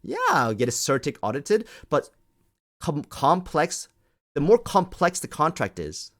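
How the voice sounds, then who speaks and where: A man's voice: fairly high pitch, sing-song, unhurried, a bit bright. A man ranting off the cuff to an online audience as a community host, scolding and emphatic, often repeating phrases to drive them home.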